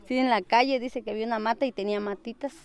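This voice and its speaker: A woman's voice: on the high side, fairly even intonation, very fast, clean tone. A woman, casually explaining things as an interviewee.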